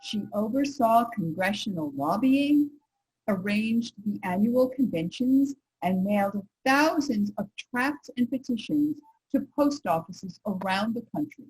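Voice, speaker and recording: A woman playing an earnest, resolute nineteenth-century suffragist, reciting in a measured, emphatic way for reader's theater. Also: medium pitch, lively intonation, darkish tone, husky, rough recording. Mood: admiring, formal.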